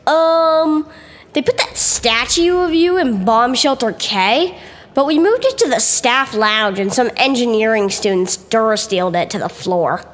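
Guy doing an eager young space academy student, really high lively voice, reverby hall. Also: measured pace, exaggerated voice.

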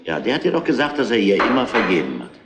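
An actor playing a grumbling police inspector in a radio crime drama, probing with hesitant, seemingly casual questions. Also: male voice, on the low side, wildly swooping pitch, fast talker. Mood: pensive, doubting. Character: grumbling, probing male police inspector, middle-aged or older. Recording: roomy, noisy old radio play recording.

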